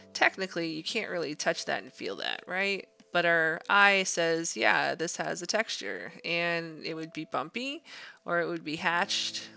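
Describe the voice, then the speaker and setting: A woman's voice: fairly low pitch, creaky, pushed and bright. A woman art instructor narrating an informal drawing tutorial, explaining conversationally as she thinks aloud.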